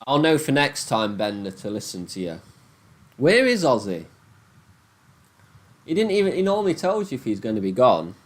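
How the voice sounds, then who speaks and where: Lively ranting man with a Nottingham accent. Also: mid-range voice, normal speed, long pauses. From Nottingham, England. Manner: animated, swearing, ranting. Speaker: livestreamer chatting with viewers. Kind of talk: casual live-stream chat.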